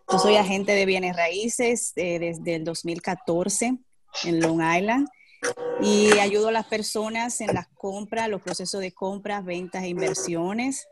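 A woman with a lowish voice introduces herself on a video call. She works in real estate and fills her speech with hesitant fillers as she explains what she does. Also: a little hiss or hum. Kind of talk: casual self-introduction.

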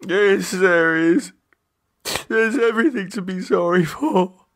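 A man's voice: high voice, fairly bright tone, no room sound, clean studio sound, voice pushed up, exaggerated voice. Slurring, distraught drunk man, acted by a male narrator. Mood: tearful, guilty. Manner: halting, rambling, repetitive. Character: drunken, confused, distraught man, guilt-ridden and tearful.

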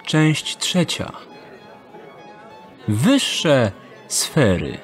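A man narrating a scripted dark fantasy audio drama in a measured, deliberate storytelling manner. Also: a little high, wildly swooping pitch, faint music behind.

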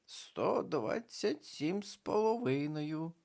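Brief: little witch, stubborn and eager, as the reader puts her on, lively raised storybook voice. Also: medium pitch, slow pace, creaky, pitched above the performer's own voice. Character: small, stubborn real witch girl.

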